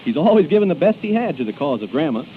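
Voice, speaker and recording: Male 1930s radio emcee: theatrical, expressive, slightly high voice, comic banter and announcements, tinny vintage broadcast. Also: rapid-fire, heavy background noise. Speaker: radio show host and announcer.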